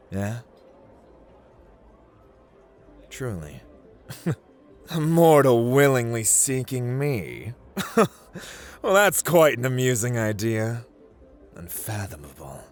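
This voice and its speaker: A man playing a playful, arrogant god of luck in an ASMR roleplay, with a teasing, drawling, condescending manner and dramatic pauses. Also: mid-range voice. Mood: amused, intrigued. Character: playful, arrogant god of luck, amused and dangerous gambling master. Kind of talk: ASMR roleplay, theatrical monologue to listener.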